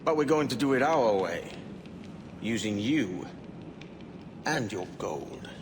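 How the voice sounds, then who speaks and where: An actor playing a brash, roguish young pirate captain in a cinematic video game. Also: male voice, on the low side, lively intonation, slow pace, noisy background. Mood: scheming.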